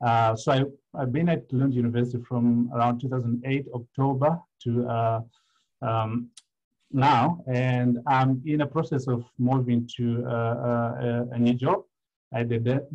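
Male academic from Zimbabwe, halting delivery, muffled video-call sound. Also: mid-range voice, steady tone, darkish tone. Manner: hesitant, many "uh" fillers. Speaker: guest speaker, economic historian. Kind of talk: academic online presentation. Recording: dull lo-fi video call audio.

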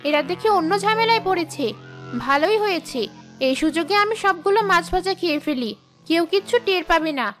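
A woman's voice: very high-pitched, expressive, a little fast, fairly bright tone, faint music behind. A woman voicing a greedy, menacing female ghost in a cartoon, with a scheming, threatening manner. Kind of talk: Bengali cartoon voice acting.

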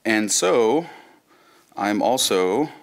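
A man's voice: on the low side, lively intonation, very slow, vocal fry. A man in his thirties from Israel, a Hebrew speaker, gives an informal, wry conference talk with some storytelling. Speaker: conference speaker, volunteer digital librarian. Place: conference room, speaking from a lectern.

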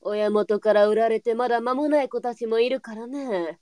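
A woman's voice: on the high side, moderate pace, warm tone, no echo, studio quality, lightly acted. A woman playing a pragmatic, brusque brothel mistress in a live period voice drama, with a blunt and knowing way of talking. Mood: grave. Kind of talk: online live voice drama acting.

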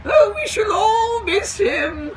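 A man's voice: very high voice, very animated, takes it slowly, shouting, a little hiss or hum, voice pushed up, heavily acted. A man voicing a large, posh, gushing lady with a hooting manner in a storybook reading outdoors. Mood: loud hooting. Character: large posh well-bred lady, hooting, patronisingly soothing. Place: outdoors at a railway. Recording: outdoors with background bustle.

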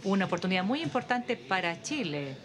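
A woman giving a simultaneous interpretation of a formal conference speech in a steady, measured delivery with occasional hesitations. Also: mid-range voice, crowd noise. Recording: audience murmur beneath the voice.

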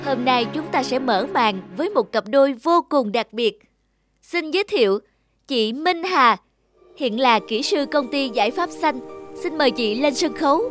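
A man's voice: very high voice, animated, moderate pace, music in the background. A man playing a suave, persuasive television dating show host in a cartoon, formal, showy and coaxing.

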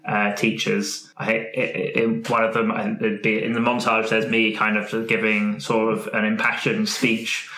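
A man playing a roleplay game, brainstorming casually with halting, enthusiastic phrasing. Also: lowish voice, monotone, bright, forceful tone. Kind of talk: casual collaborative improvised brainstorming. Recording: echoey room with noise.

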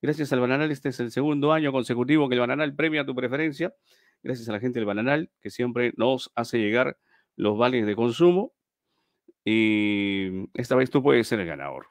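A man's voice: mid-range voice, normal speed, dry recording, studio quality. A middle-aged man hosts a live stream giveaway from a small room on a webcam, chatting, repeating himself and reading out viewers' names.

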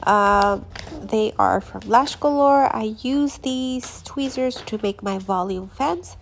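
A woman's voice: fairly high pitch, slow, vocal fry, slight background noise. A young woman, congested from allergies, chatting casually as she reviews her lash products, with many fillers and restarts.

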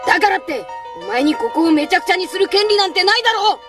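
A woman's voice: very high-pitched, animated, quick pace, distant mic, over music. A woman voicing an earnest, defiant young boy in an anime, blunt and emotional. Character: earnest, defiant young boy cook defending his mother's restaurant. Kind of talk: anime dramatic acting.

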